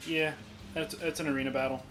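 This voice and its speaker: A man's voice: on the high side, level tone, fairly quick. Rambling male game commentator. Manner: rambling, full of restarts and fillers. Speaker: let's play commentator. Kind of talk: casual gameplay commentary. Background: game music.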